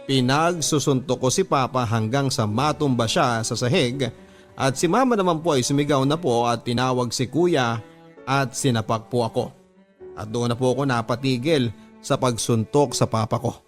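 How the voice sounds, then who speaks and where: A man's voice: medium pitch, normal speed. Filipino man narrating over background music. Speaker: radio drama narrator reading a listener's letter. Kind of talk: scripted first-person confessional storytelling. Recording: music bed under the voice.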